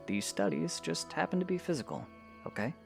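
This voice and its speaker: Man acting a young swordsman mentor, gravelly, animated intonation, encouraging, instructive, fantasy audio drama. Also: a little high, brisk, music in the background. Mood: patient, instructive. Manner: patient, instructive, reassuring.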